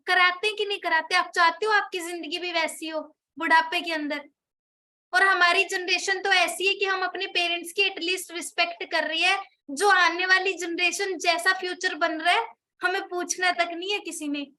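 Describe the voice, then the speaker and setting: A woman's voice: very high-pitched, fairly even intonation, moderate pace, pushed and bright. A young woman from India talking persuasively about products, chatty and full of questions to the listener, with a slightly sore throat from a cold. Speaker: product seller explaining products.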